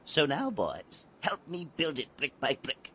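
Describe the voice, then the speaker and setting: An actor playing a mysterious, grandly macabre guide to young boys in a dramatized audiobook, declaiming like an incantation. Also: male voice, fairly high pitch, huge pitch swings, measured pace, husky, exaggerated voice. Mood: urging, rousing. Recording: loud music under the voice.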